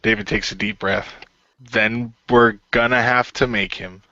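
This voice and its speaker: A man with a lowish yet bright, forceful voice narrates his character's actions in an improvised fantasy game. The recording is dry and slightly muffled. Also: steady tone, unhurried, dry recording. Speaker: player in an improvised radio play. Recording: dull lo-fi voice recording.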